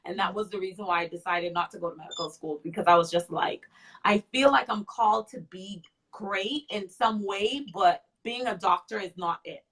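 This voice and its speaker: A woman's voice: mid-range voice, moderate pace, fairly bright tone, low-quality recording. A woman in her thirties, a makeup artist and guest, speaking candidly in a casual interview and often repeating short phrases. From Freeport.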